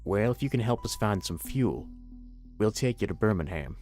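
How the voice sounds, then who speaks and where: A man playing an irritable older survivor, a gruff uncle in an audio horror story, curt and grumbling. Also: on the low side, expressive, moderate pace, a little mellow, faint music behind. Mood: matter-of-fact.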